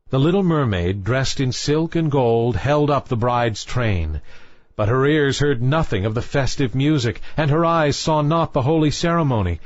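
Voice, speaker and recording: A man's voice: medium pitch, dry recording, highs cut off. Man narrating a fairy tale, dry sound. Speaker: storyteller.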